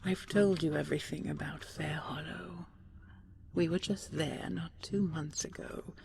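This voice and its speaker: A woman playing an ancient dragon queen in an intimate sleep roleplay, tender, regal and wistful, speaking softly and breathily in slow, stately phrases, often half-whispering. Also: deep voice, fairly even intonation, gravelly, slight background noise, exaggerated voice. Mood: teasing. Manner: slow, measured, stately, with lingering pauses.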